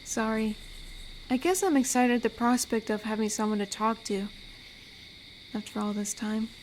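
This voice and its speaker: A woman voicing an amiable, rambling werewolf who guards a forest, in an intimate audio roleplay with a chatty, gently awkward manner. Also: on the high side, steady tone, normal speed, a little hiss or hum, close to an everyday voice, low-quality recording. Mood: gentle, bashful. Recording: night cricket ambience behind voice. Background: crickets and forest night sounds.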